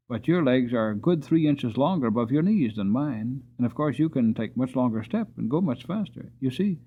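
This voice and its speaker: A man's voice: mid-range voice, breathy edge, narrow, tinny audio. A man voicing a troubled, sweet-tempered mare in a storybook, explaining herself plaintively and earnestly. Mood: troubled, apologetic.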